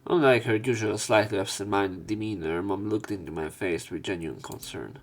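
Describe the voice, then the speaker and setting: A man reading visual novel text aloud as a let's play narrator, in a somewhat halting manner. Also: on the low side. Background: game music.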